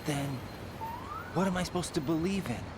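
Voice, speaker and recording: An actor playing a young, self-doubting soldier in a game cutscene, with a searching, emotional delivery. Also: male voice, fairly high pitch, moderate pace, natural voice. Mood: anguished. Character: young male soldier, lost and doubting himself. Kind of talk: dramatic video game cutscene acting. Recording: clearly noisy ambient background with vehicles.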